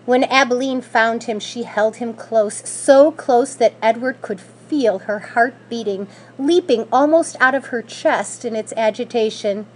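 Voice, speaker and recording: A woman reading a children's story aloud in an expressive, scripted narrating style. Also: a little high, a little slow, hardly any pauses, slight background noise, low-quality recording. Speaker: school staff member reading aloud.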